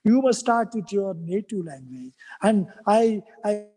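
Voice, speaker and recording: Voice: mid-range voice, animated, unhurried. A native Kannada speaker with an Indian English accent, giving a formal speech at a university event and earnestly listing points in rhythmic series. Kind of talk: formal prepared speech with thanks. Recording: long reverb of a large hall.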